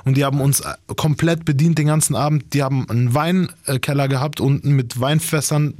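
A young-sounding man talks loosely and rambles, dropping slang all the time. His voice sits at an everyday middle pitch. The sound is dry and close, with no room around it. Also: fairly even intonation, brisk, darkish tone, no echo. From Germany. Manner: slangy, rambling, says "Bro" often. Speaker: rapper. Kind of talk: casual interview chat.

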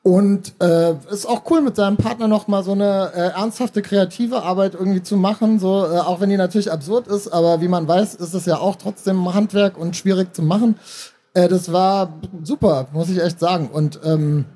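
A man with a notably high yet mellow voice chats as a guest on stage. He is easygoing and conversational, slipping in dry jokes. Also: normal speed. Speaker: author, interview guest. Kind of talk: casual live interview chat. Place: book fair stage.

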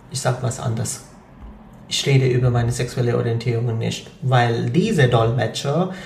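A man's voice: medium pitch, a little mellow. A man from Pakistan, a Punjabi speaker, talking as an interview guest. He explains at length, with many hesitations and fillers. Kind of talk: conversational interview. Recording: echoey room.

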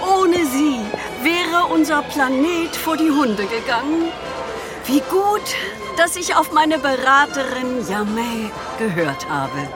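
Hohe, feierliche Alien-Präsidentin. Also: female voice, high voice, expressive, takes it slowly. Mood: solemn, grateful. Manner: formal, ceremonious. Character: grateful, stately female president of an alien planet. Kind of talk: German audio drama acting. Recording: music and crowd behind the voice.

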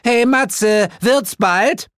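Ein Mann spricht in einem Hörbuch eine eitle, hysterische, keifende Dämonenmutter. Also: male voice, sits high, very animated, unhurried, a bit bright, dry recording, clean studio sound, voice pushed up, full character voice. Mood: impatient. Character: vain, hysterical, bickering demon mother.

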